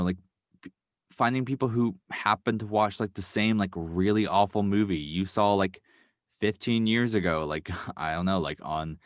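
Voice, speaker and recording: A man's voice: deep voice, no echo, studio quality. A man, a writer, guest on a podcast, talking casually and rambling, hedging often and filling gaps with "um" and "like".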